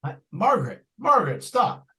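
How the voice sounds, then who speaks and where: A man's voice: sits high, huge pitch swings, moderate pace, sharp tone, exaggerated voice. A man playing a stern, exasperated father in an old-time radio suspense drama. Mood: angry, worried.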